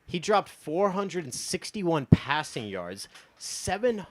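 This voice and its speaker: A man's voice: a little high, sing-song, slow pace. A man in his twenties with an American accent, chatting casually about sports as a show guest, emphatic, opinionated and loose with swearing. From United States, born in Texas and from Iowa.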